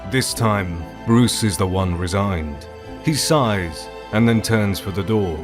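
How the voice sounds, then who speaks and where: A man's voice: fairly low pitch, expressive, slow, over music. A man giving scripted narration for an audio drama as its narrator.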